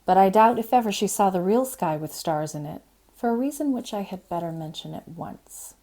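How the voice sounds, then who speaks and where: A woman reading a storybook aloud as a librarian host, in an expressive, measured storytelling manner. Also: medium pitch. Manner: expressive, measured storytelling with brief informal asides.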